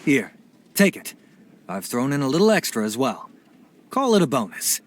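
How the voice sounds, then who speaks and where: A man playing a composed, courteous employer in a video game, speaking in a measured way. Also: medium pitch, big pitch swings, slight background noise, lightly acted. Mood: composed, approving. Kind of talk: video game cutscene acting.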